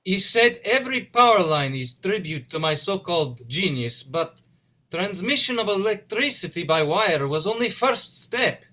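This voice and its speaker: A man's voice: high-pitched, expressive, moderate pace, bright, forceful tone. A man playing a proud, wronged visionary inventor, giving a formal theatrical speech in a play reading. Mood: proud, aggrieved. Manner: formal, declamatory oratory.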